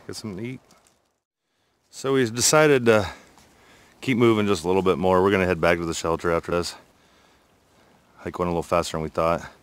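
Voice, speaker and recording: Man, deep voice, middle-aged, easygoing rambling, outdoor forest, handheld phone. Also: smooth. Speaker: hiking vlogger. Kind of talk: casual narration on a hike.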